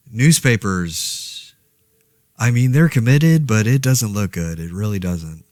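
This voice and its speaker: Low-voiced, wry, rambling amateur podcaster. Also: male voice, no echo, studio quality. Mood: wry, resigned. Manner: rambling, wry, hesitant, self-correcting. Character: laid-off, rambling New York man podcasting through a zombie outbreak. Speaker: podcast host. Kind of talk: casual podcast monologue in a fiction audio drama.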